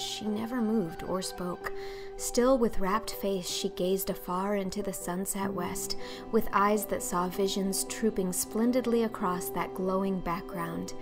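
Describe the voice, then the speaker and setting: A woman reading scripted storybook narration in an expressive, literary manner. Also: mid-range voice, measured pace, music in the background. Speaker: audiobook narrator.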